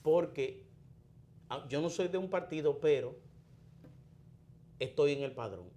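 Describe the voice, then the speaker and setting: A man from the Dominican Republic with a Dominican Spanish accent, giving morning political commentary in a deliberate, explanatory way with restarts and fillers. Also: fairly high pitch, mellow.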